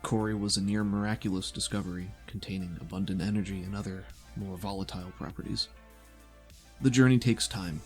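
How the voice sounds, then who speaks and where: A man narrating a tabletop game as game master, in a measured, descriptive storytelling manner. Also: deep voice, steady tone, soft background music.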